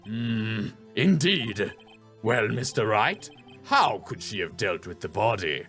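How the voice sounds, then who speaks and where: A man's voice: on the low side, sing-song, slow, fairly bright tone, soft background music, pitched below the performer's own voice, heavily acted. A man voicing a solemn, slightly baffled elderly judge in a video game, speaking in a deliberating way. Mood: pondering.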